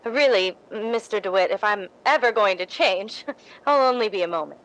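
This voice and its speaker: A woman's voice: medium pitch, lively intonation, normal speed, a bit bright, lightly acted, low-quality recording. Woman playing a coy young stage actress. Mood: evasive. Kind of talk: old-time radio drama acting.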